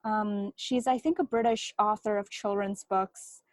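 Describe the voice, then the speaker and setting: A woman whose first language is Bengali, an author answering interview questions in a reflective, soft-spoken way that often slows down. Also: medium pitch, steady tone, no room sound, clean studio sound. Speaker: author guest.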